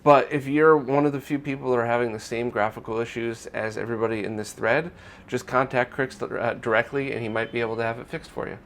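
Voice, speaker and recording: A man's voice: medium pitch, a bit bright. Conversational man presenting a news roundup. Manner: conversational, explanatory. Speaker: host of a retro gaming news roundup. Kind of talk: semi-scripted news commentary to viewers.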